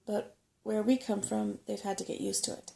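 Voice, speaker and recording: A small furry brownie from a bedtime story, put on by a woman reader. She is sceptical and grumbling, with dry delivery. The tone is soft-edged and crackly, over faint noise. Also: mid-range voice, fairly even intonation, moderate pace, vocal fry, echoey room. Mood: dry, gloomy.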